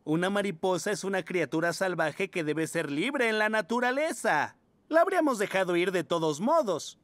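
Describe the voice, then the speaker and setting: A woman's voice: medium pitch, animated, normal speed, no echo, natural voice. A woman voicing a kindly, reassuring male mole schoolteacher in a children's cartoon dub. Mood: kind, concerned.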